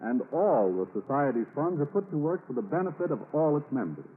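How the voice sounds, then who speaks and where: A man announcing in formal, measured and emphatic 1940s radio style, reading a scripted sponsor message. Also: a little high, big pitch swings. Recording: narrow, band-limited 1940s radio recording.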